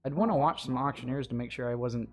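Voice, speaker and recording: A man co-hosting a live stream, reading out chat and joking in a dry, low-key way. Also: quick pace, gravelly. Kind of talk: casual chat.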